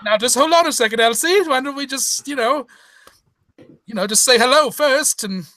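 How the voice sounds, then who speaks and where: A man's voice: very high voice, moderate pace, pushed and bright, no echo. A man playing a flustered, stammering, easily exasperated doctor in a comic old-time radio drama. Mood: mildly exasperated.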